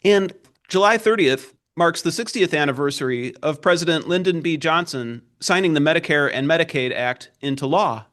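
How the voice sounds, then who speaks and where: A man's voice: fairly high pitch, normal speed, fairly bright tone. A man working as a reporter, hosting a formal interview and introducing his guests and questions.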